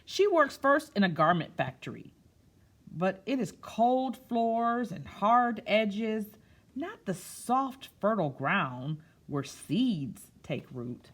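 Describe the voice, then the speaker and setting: A woman reading a picture book aloud for children, stretching key words expressively and pausing for soft explanatory asides. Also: medium pitch, very animated. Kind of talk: read-aloud story time with explanatory asides.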